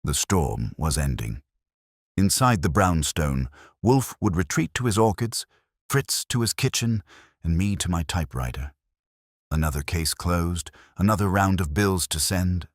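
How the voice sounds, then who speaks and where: A man narrating a detective story in the first person, with a gravelly voice and dry, hard-boiled delivery. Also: low voice, lively intonation, normal speed, no echo. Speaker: audiobook narrator.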